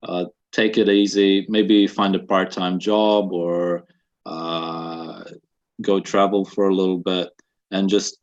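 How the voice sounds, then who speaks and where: A man in his twenties from Russia, with a Russian accent softened by New Zealand, answering interview questions in a halting way with many fillers, on dull lo-fi webinar audio. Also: sits low, flat delivery. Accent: Russian with a slight New Zealand accent. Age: 20s. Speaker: guest.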